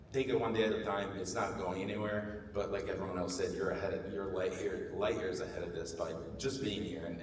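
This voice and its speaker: A Bulgarian-accented man with a low, slightly worn voice speaks earnestly on a conference stage, often restarting his sentences. The sound is roomy and far, with a long hall reverb. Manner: earnest, self-deprecating, many restarts. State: losing his voice. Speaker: panelist, marketing executive. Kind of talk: conference panel talk. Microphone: handheld mic. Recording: echoey hall, distant mic, faint noise.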